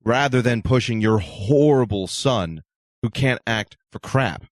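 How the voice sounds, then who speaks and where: A man's voice: medium pitch, measured pace, dry recording, studio quality. A man in casual opinionated panel talk, speaking bluntly and with sarcasm. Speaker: podcast panelist.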